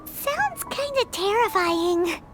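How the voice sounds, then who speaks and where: A woman voicing a tiny, chirpy floating fairy companion in a game, with a squeaky voice who talks about herself in the third person. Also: sing-song, takes it slowly, full character voice. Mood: uneasy. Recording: game audio with loud music.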